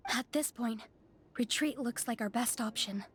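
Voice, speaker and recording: A woman acting a resolute young female fighter in a fantasy video game, serious and measured. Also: on the high side, close to an everyday voice. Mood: grave but hopeful.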